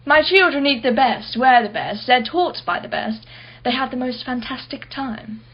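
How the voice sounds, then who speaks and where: A woman's voice: high voice, expressive, normal speed, husky, highs cut off. A woman playing a wealthy, blunt and haughty married woman in a radio play, clipped and defensive.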